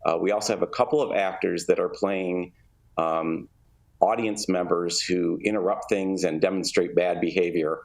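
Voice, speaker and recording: A man speaking as an interview guest, explaining things in measured, halting phrases as a theatre director. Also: sits low, fairly even intonation, vocal fry, darkish tone.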